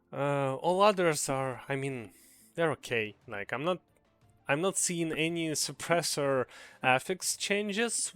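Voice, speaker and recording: A man's voice: medium pitch, a bit bright, clean tone, dry recording. A young man with a Ukrainian accent giving a casual, earnest gaming commentary, hesitant with many fillers and restarts. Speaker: gaming video creator.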